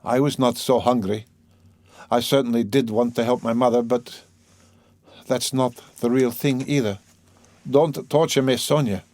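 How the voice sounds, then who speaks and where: A man reads as a young male killer tormented by guilt, in a storybook drama. He is bitter and self-loathing, speaking in agitated, halting bursts in a close, dry recording. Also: medium pitch, steady tone, a little mellow, no room sound, pitched above the performer's own voice, lightly acted. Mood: weary, vexed. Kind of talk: dramatic audiobook character reading.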